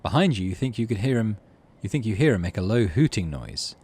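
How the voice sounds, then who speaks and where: A man's voice: fairly low pitch, big pitch swings, brisk, a little mellow, husky. A middle-aged man hosting a let's play, reading game text expressively and making casual muttered asides to himself. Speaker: gaming channel host.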